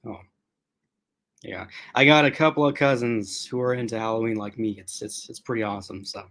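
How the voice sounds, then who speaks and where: A man hosting a relaxed livestream, talking straight to his chat viewers in a loose, rambling way. His voice is strained from being used a lot, and he stops often to clear his throat. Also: on the low side, a little fast. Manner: chatty and rambling, addressing chat commenters. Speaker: host.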